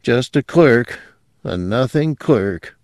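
A man's voice: medium pitch, unhurried, dry recording, studio quality, pitched above the performer's own voice, natural voice. Man voicing a grating, drawling, sardonic drugged captive man. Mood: drugged, fading. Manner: drawling, bitter. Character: hostile, sardonic man under a truth drug.

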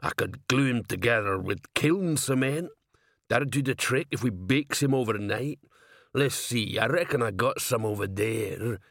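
A man's voice: mid-range voice, expressive, a little slow, gravelly, a bit bright, no echo, clean studio sound, full character voice. A man voicing a cowed, shifty troll craftsman in a storybook, muttering grudgingly in broken grammar.

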